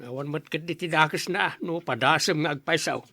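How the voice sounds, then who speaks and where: An actor playing a concerned, questioning father in a radio drama, speaking in an expressive, coaxing way. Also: a little high, normal speed, no room sound, clean studio sound.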